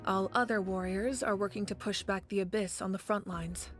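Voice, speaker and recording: Composed, commanding woman leader acted, mid-pitched. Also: steady tone, soft background music, lightly acted. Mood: grave, urgent. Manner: measured, authoritative. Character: composed, grave female leader of warriors. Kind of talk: dramatic game voice acting.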